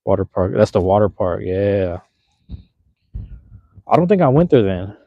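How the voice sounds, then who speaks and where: Young male streamer, relaxed and slangy, low mellow voice, dry close phone audio. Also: unhurried, no room sound. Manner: laid-back, slangy, rambling. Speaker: livestream host. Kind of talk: casual live chat with viewers. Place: outdoors at night in a park, cold drizzle. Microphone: handheld phone. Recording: slightly dull lo-fi phone audio.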